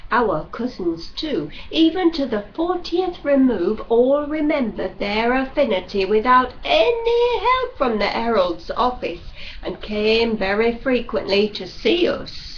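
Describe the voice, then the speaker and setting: A woman narrating a classic novel as an audiobook reader, with a measured, expressive storytelling delivery. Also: a little high. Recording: dull lo-fi recording with faint noise.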